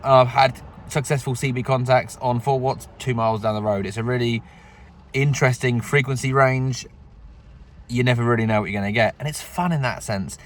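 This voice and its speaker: A man's voice: mid-range voice, fairly even intonation, moderate pace, slight background noise. A man presenting a casual amateur radio vlog, recorded on a phone in and around a vehicle. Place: outdoors and in a vehicle. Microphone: phone camera.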